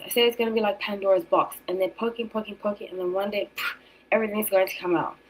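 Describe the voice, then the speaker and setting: Casting: woman, thirties, British accent, medium-pitched voice, informal streamer commentary with a loose, emphatic delivery. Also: poor audio. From South Africa and England. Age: 30s. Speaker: tarot reader and streamer host. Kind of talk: casual livestream chat with commentary.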